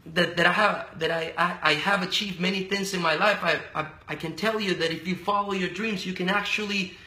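Venezuelan accent, man in his thirties, bright forceful voice, echoey small room. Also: sits high, steady tone, fairly quick. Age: 30s. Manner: earnest and upbeat, many restarts and stammers. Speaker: guest, radio and TV personality. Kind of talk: casual interview conversation. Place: small indoor office room.

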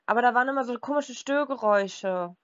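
A woman's voice: on the high side, fast talker. A woman chatting casually on the phone, hesitant and matter-of-fact. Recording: dull lo-fi phone call.

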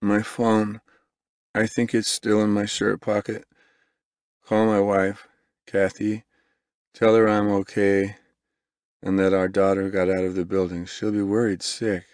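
A man's voice: on the low side, little pitch movement, a little mellow, clear, resonant tone, dry recording, studio quality, close to an everyday voice. A man voicing a wounded, fading teacher. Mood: weak. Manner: weak, halting and urgent.

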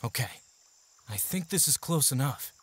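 An actor voicing a serious, curt young mercenary in a fantasy audio drama. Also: male voice, medium pitch, animated, normal speed, husky. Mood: focused, hushed. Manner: curt, earnest, tactical. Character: serious, gruff-mannered young male mercenary in training. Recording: faint music behind the voice.

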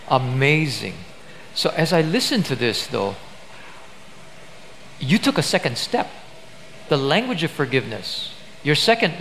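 A man's voice: mid-range voice, a bit bright, rough recording. Older man, pastor, emphatic conversational preaching, church stage, faint hiss. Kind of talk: sermon interview on stage.

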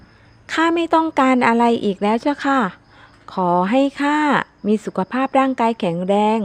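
Kind young village maiden played by a woman, high voice, polite and deferential, storybook folktale. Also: expressive, normal speed, no room sound. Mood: earnest, humble.

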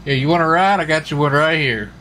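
A man's voice: fairly high pitch, fast talker, pushed and bright, slight background noise. A man in casual roleplay game chat who rambles and repeats himself. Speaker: player in online roleplay game.

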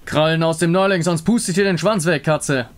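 Game role: irritable male monster hunter read by a man, brusque grumbler, rather high for a man. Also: fairly quick, faint music behind, lightly acted. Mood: alarmed. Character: gruff, irritable male monster-hunting warrior. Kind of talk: fan voice-over of a game story.

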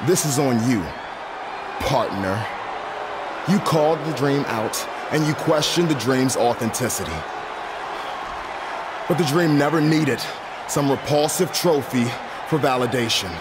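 A vain, theatrical wrestler, voiced by a man in a game cutscene, speaking of himself in the third person. His mid-pitched voice rides over a roaring arena crowd. Loud noise sits under the whole track. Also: a little slow, long pauses, natural voice. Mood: taunting. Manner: theatrical, self-obsessed, speaks of himself as "the Dream". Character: arrogant male wrestler who talks about himself in third person. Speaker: wrestler character. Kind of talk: video game cutscene dialogue.

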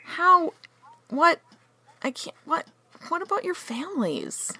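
A woman's voice: extremely high pitch, sing-song, slow pace, pushed and bright, no echo, exaggerated voice. A woman playing an earnest, easily exasperated holiday spirit in a comedic radio play, with theatrical delivery. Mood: flustered.

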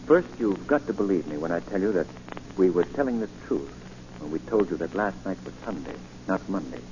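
Urbane gentleman detective, lowish voice with very animated inflection, 1940s radio drama. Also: brisk. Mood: intrigued, reassuring. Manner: courteous, assured, urbane. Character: suave, composed gentleman sleuth. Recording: muffled, hissy 1940 radio recording.

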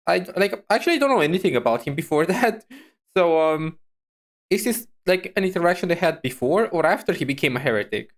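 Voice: mid-range voice, quick pace. Casual, rambling streamer reacting. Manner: animated, giggly, rambling.

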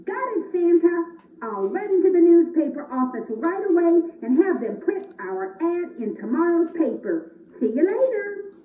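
A woman voicing an excitable, earnest young elf helper in a children's puppet show. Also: extremely high pitch, full character voice. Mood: excited. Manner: earnest, exclamatory, drawn-out delivery. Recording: roomy, distant, muffled phone-like audio.

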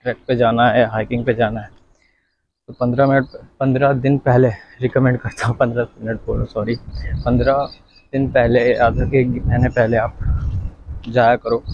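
A man's voice: medium pitch, flat delivery, moderate pace, darkish tone, highs cut off, slight background noise. A man vlogging as he walks outdoors, chatting to viewers and giving them casual, instructive advice. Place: outdoors, walking in the sun.

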